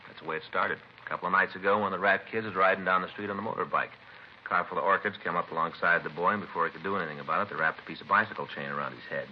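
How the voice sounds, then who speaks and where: A man acting a businesslike American detective in a 1950s radio police show. He talks in short casual bursts in a very deep voice, at a brisk clip. The sound is dull and vintage, with light noise. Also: natural voice. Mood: grave, informative. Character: plainspoken, businesslike male police detective partner. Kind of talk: 1950s radio crime drama dialogue. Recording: muffled, faintly noisy old radio recording.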